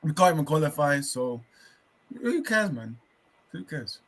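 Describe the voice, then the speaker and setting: A man's voice: on the high side, big pitch swings, a little slow. A man bantering casually about football on a watch-along, laid-back and blokey.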